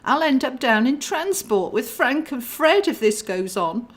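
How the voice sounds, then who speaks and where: A woman reader plays a guardian angel in a comic storybook. She is anxious and fretful, pleading in a high voice well above an everyday pitch. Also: very animated, a little slow. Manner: worried, desperate, repetitive pleading.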